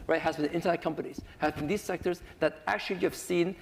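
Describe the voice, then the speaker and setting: Creaky high-voiced man on a panel. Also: fairly even intonation, fast. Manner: conversational, peppered with "right?" tags. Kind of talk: unscripted panel discussion. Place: conference panel hall. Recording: echoey hall with some noise.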